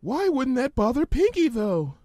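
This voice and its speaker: A man voicing a timid, anxious young female pony in a storybook reading, squeaky and whimpering. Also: very animated, moderate pace, darkish tone, no echo, clean studio sound.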